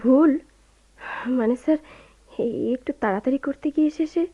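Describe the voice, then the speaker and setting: A woman's voice: high voice, normal speed, close to an everyday voice. A woman playing a proud, grieving young wife and office typist in a radio drama, speaking earnestly and deferentially, with open emotion. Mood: flustered. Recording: dull lo-fi radio drama recording.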